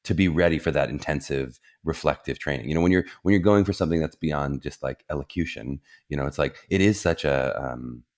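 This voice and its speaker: Very deep-voiced earnest male host. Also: fairly quick, few pauses. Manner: reflective, earnest, many fillers. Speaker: podcast host, theater program director. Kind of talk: casual podcast interview.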